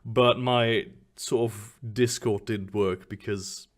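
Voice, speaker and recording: A man's voice: lowish voice, slow pace, noisy background. A man acting as game master, rambling and then reading out a mission brief. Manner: rambling, animated, reads mission briefs aloud. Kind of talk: running a tabletop game.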